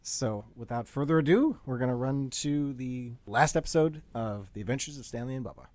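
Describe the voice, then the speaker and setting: A man's voice: mid-range voice, a little fast, a little mellow, no echo. A man hosting a radio show, giving an informal, somewhat hesitant live introduction.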